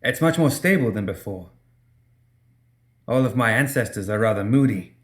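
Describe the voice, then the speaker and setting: A man's voice: medium pitch, expressive, moderate pace, close to an everyday voice. A man voicing a young woman fighter, tender but proud, in an audiobook story. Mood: pleading, tender.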